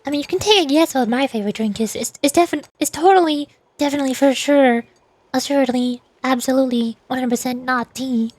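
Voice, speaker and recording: A woman's voice: high voice, brisk, darkish tone. A woman streaming a game, chatting casually with viewers in a playful, rambling, teasing way. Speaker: livestreamer playing a game, reading dialogue aloud. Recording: music playing behind the voice. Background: music.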